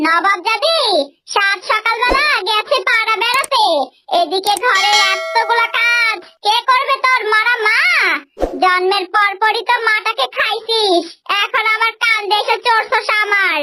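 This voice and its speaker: A woman's voice: very high voice, unhurried, heavy background noise. A woman voicing a harsh, sarcastic stepmother in a fairy-tale cartoon, nagging and threatening. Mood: scolding.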